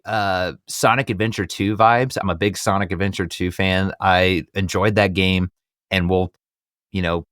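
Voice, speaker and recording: A man's voice: on the low side, a bit bright, dry recording, clean studio sound. A man hosting a casual film discussion, talking in an enthusiastic, conversational fan's way. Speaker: podcast host.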